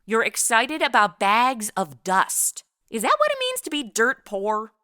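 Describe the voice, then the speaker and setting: A woman's voice: on the high side, huge pitch swings, measured pace, pushed and bright, dry recording, clean studio sound, exaggerated voice. A woman playing a sarcastic modern woman out of place in the 1890s, quipping in slang in a comedy audio drama.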